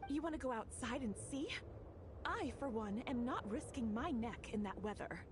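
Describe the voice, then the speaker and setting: A woman plays an excitable, outspoken theatergoer in a video game. Her high voice moves around a lot, over clear background noise. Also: moderate pace, lightly acted. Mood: defensive.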